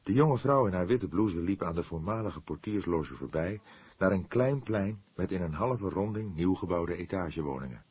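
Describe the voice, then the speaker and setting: Deep, husky male narrator. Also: animated, normal speed, darkish tone, dry recording. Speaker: audiobook narrator. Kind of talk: dramatic scripted narration of a detective novel.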